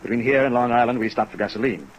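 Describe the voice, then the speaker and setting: An actor playing a polite, evasive company president in a 1950s radio drama, speaking formally and hesitantly with a rueful air. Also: male voice, mid-range voice, very fast, highs cut off, natural voice. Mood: rueful, reticent. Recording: vintage radio recording under rain noise. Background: steady rain sounds.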